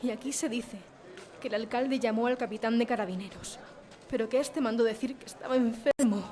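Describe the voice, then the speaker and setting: A woman's voice: on the high side, brisk, warm tone, natural voice. A woman playing a devout, anxious but strong-willed village mother in a radio drama. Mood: alarmed. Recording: music behind the voice.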